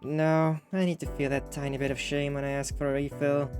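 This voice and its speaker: A man's voice: on the high side, level tone, brisk, darkish tone, soft background music, close to an everyday voice. A man voicing a jaded, hard-drinking young woman in a visual novel, with a dry, weary manner. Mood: wry, brooding.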